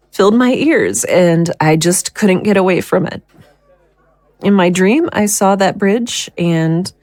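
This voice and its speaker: A woman playing a guilt-ridden, worn-down young mother in an audio drama, speaking haltingly and emotionally. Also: fairly low pitch, darkish tone, no echo. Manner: halting, emotional confession with sighs.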